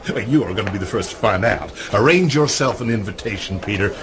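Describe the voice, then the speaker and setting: An actor playing a commanding, businesslike boss briefing a criminal crew in a radio drama, clipped and authoritative. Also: male voice, lowish voice, expressive, fast. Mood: briefing, cool. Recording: noisy ambience behind the voice.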